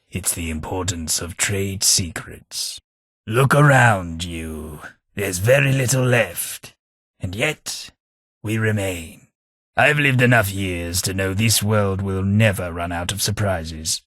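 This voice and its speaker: A prim, disdainful old ghoul. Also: male voice, fairly bright tone, dry recording, heavily acted. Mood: wistful. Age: older. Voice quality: low, dry, measured and cutting. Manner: refined, sardonic, easily offended. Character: old, proper, disdainful male ghoul companion. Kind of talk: game companion voice lines.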